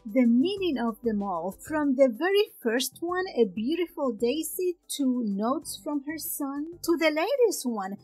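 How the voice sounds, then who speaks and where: A woman's voice: sits high, husky, rough recording. A woman speaking as an upbeat, welcoming podcast host, introducing and interviewing a guest.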